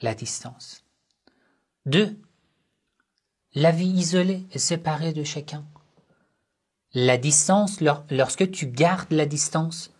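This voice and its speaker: Slow, measured male religious teacher. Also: mid-range voice. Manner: poses rhetorical questions and answers them; measured, short phrases with pauses. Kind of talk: slow religious lesson on family life.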